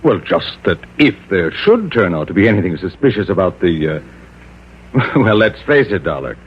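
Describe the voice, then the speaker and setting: A man's voice: low voice, very animated, moderate pace, husky, exaggerated voice. An actor playing a genteel, evasive man in a radio crime drama, uneasily polite and hesitant. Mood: defensive, smooth. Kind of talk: old-time radio crime drama acting. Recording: muffled, humming old radio broadcast.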